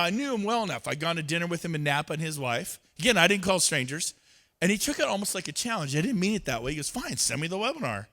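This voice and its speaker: High bright-voiced guy on stage, super lively, acts out little dialogues. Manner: animated, acts out both sides of conversations. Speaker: real estate speaker. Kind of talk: motivational seminar talk. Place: event stage.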